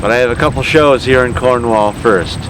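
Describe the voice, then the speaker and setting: A man's voice: mid-range voice, normal speed, far from the mic, noisy background. A man, a touring musician and host, casually narrating his trip as he travels.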